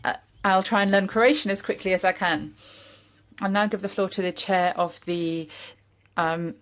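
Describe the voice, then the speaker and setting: A woman whose first language is French chairs a parliamentary hearing in English, formal and polite with the odd filler pause. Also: mid-range voice, fairly even intonation. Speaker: committee chair.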